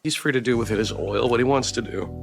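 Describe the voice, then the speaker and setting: Gravelly male game streamer reading aloud. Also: medium pitch, fast. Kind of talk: narration with casual live commentary. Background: background music.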